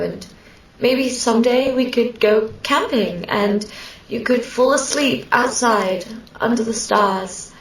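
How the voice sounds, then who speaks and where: A woman playing a lonely, clingy sleep paralysis demon in a scripted ASMR roleplay, speaking intimately and haltingly to a sleeper. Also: mid-range voice, far from the mic, natural voice. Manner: hesitant, halting, wistful, intimate. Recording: roomy echo, set back from mic.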